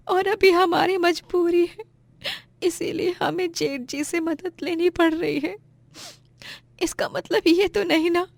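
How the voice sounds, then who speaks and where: Woman as a high plaintive young daughter-in-law. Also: gravelly, no room sound, heavily acted. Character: wronged, pleading younger daughter-in-law, gentle and caring. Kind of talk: melodramatic moral-story dialogue.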